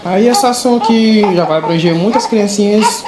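A woman's voice: mid-range voice, fairly quick. A woman with a Brazilian accent answering casually as an interviewee in a spontaneous interview. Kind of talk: spontaneous street-style interview. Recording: echoey room with loud background noise.

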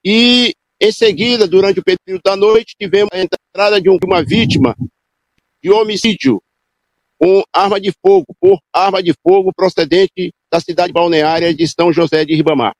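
Brief: male field reporter, slightly high voice, dry close sound, improvised live delivery. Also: normal speed, dry recording, low-quality recording. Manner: loose, improvised delivery with restarts and self-corrections.

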